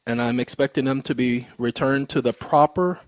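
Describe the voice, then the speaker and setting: A man's voice: mid-range voice, moderate pace, no echo, poor audio. A man speaking in a formal, polite but insistent way, explaining a complaint in precise wording. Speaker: caller, presenter of own recorded phone call.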